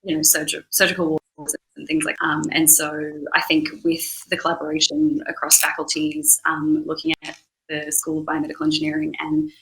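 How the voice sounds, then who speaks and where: A woman's voice: sits low, little pitch movement, brisk, fairly bright tone, poor audio. A woman speaking as a startup co-founder on a panel, explaining her work in long sentences as she thinks aloud.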